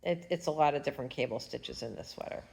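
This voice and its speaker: Brief: chatty female crafter, low voice, stuffed-up nose, rambling and humming. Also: fast talker, gravelly, darkish tone. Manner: chatty, rambling, hums while working. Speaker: fiber arts vlogger. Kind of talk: casual knitting tutorial chat. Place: at home.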